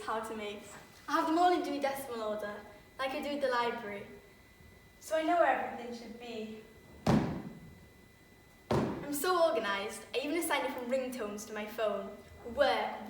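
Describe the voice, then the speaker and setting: A woman's voice: fairly high pitch, a bit bright, slight background noise, lightly acted. A woman playing an orderly librarian and young mother, confiding and wry in a stage monologue. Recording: echoey room from far off.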